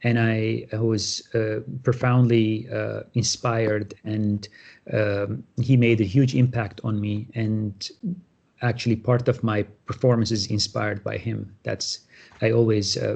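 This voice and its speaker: A middle-aged man, a guest artist explaining his work on a panel, halting with many fillers and restarts. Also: mid-range voice, creaky, warm tone. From Syria. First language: Armenian. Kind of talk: panel talk about his artwork.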